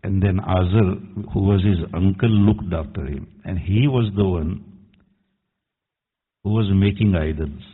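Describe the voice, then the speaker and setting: A man's voice: low voice, a little slow, husky. A man preaching an earnest, admonishing sermon as imam, quoting Arabic verses. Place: mosque hall. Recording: tinny echoey hall recording.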